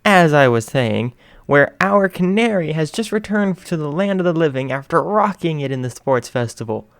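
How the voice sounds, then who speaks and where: A man reading aloud, voicing an excitable male radio host who is loud, teasing and theatrical. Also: a little high, moderate pace, clear, resonant tone, no room sound, voice pushed up.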